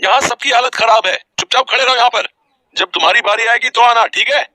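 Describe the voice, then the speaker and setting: A bossy hospital ward boy voiced by a male narrator in a moral story, curt and scolding. The voice is very sharp and forceful, with a dry sound. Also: very high-pitched, fairly quick, no echo, heavily acted, rough recording. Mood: irritated scolding.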